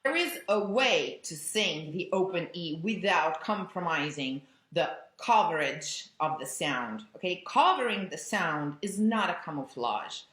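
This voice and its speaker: Woman, opera coach, animated bright teaching voice, echoey room. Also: on the low side, a little slow, few pauses. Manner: expressive, imagery-rich teaching. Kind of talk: vocal lesson explanation. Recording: roomy echo.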